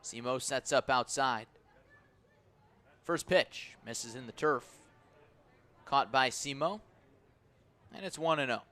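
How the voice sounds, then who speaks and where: A man calling baseball play-by-play on the radio, measured between pitches and swelling into excited calls on plays. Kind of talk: live radio sports commentary.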